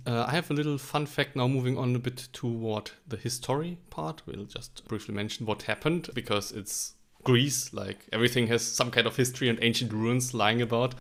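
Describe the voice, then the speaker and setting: A young adult man with a German accent, co-hosting a casual podcast chat and explaining things in an easygoing way. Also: medium pitch. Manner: easygoing, explanatory, fond of "like" and "actually". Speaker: podcast co-host.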